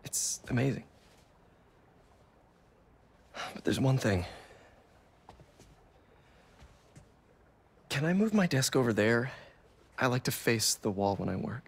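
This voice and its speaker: A man's voice: mid-range voice, very animated, brisk, creaky, husky, exaggerated voice. An actor playing a modest, good-natured young American man of science, easily awestruck, in a video game cutscene. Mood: agreeable. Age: young adult.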